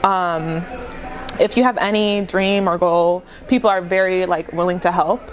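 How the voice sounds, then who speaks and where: A woman's voice: fairly low pitch, steady tone, unhurried. A young woman student answering casually in an interview, sprinkling her talk with the filler "like". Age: young adult. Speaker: university student.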